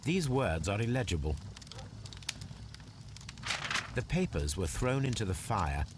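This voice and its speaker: An actor playing a shrewd, analytical gentleman detective in a video game, with an English accent and a precise, formal way of speaking. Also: lowish voice, very animated, normal speed, noisy background. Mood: observant, analytical. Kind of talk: video game detective monologue, deliberate observations.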